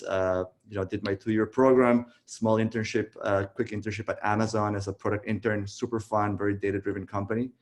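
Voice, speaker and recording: A man in his thirties from Costa Rica, telling his story in a relaxed way with many fillers on a video call. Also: fairly low pitch. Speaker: guest, tech founder. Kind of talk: casual virtual interview.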